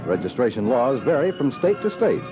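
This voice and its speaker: A man's voice: mid-range voice, sing-song, fairly quick. Male American radio announcer, 1950s scripted style, hugely varied intonation, tinny phone-like band, music behind.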